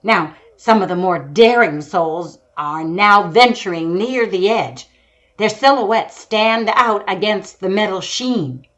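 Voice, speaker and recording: A woman's voice: medium pitch, slow, fairly bright tone. A woman playing an earnest female radio news reporter in a 1930s-style broadcast drama, describing a scene with dramatic deliberation. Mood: urgent. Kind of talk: 1930s radio newscast acting.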